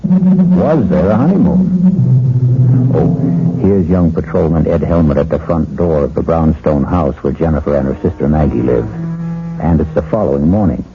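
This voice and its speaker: A man narrating as host of a 1970s radio suspense drama, measured and dramatic. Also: very deep voice, expressive. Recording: dull 1970s radio broadcast over music.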